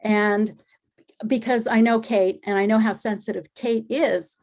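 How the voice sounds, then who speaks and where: A middle-aged woman, an energy healer and acupuncturist, telling her story in a relaxed interview and acting out the dialogue she quotes. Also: medium pitch, measured pace.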